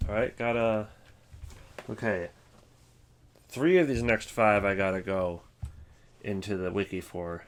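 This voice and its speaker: Low-pitched man thinking aloud. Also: steady tone, unhurried, pauses often, slight background noise. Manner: rambling, thinking aloud, wry. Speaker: video host ranking game voice lines. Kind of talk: casual unscripted commentary.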